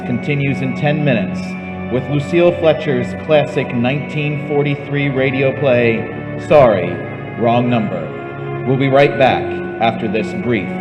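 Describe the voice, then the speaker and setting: A man narrating a scripted radio suspense show as its announcer, in a measured, portentous manner. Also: mid-range voice. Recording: echoey hall with long reverb. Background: loud music.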